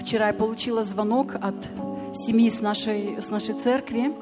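A woman's voice: fairly high pitch, fairly even intonation, moderate pace. Woman in a reverberant hall, music behind. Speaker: church member introducing a guest. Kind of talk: spoken introduction to a congregation.

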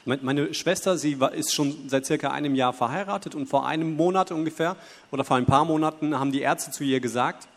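A man's voice: on the high side, a little fast. A man interpreting aloud in a church, delivering the message phrase by phrase and sometimes correcting himself. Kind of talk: consecutive interpreting into German. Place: church hall before a congregation. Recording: long hall reverb.

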